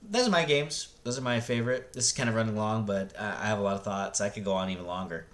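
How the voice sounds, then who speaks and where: A man's voice: fairly low pitch, a bit bright. A middle-aged man giving casual, rambling opinions on a vlog, blunt and sweary, often tripping into false starts and starting over. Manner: blunt, profane, halting with repeated false starts. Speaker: host of a movie review vlog.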